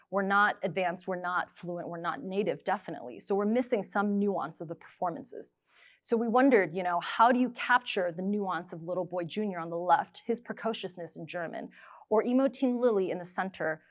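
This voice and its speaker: A woman in her thirties giving a prepared conference presentation on a lapel mic. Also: lowish voice. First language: English. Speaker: creative producer, presenter. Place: indoor studio stage. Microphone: clip-on lapel mic.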